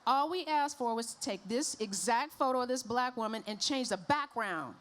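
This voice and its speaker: A woman moderating a conference panel, talking to the crowd in an energetic, emphatic way. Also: fairly high pitch, normal speed. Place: stage at an advertising festival.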